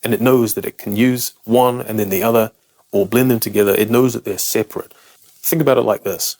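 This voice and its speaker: A man's voice: on the low side, fairly even intonation, fairly quick, a little mellow. A man with a British accent, a native English speaker, talks to camera as a guitar teacher, explaining picking technique in a frank, self-deprecating and emphatic way.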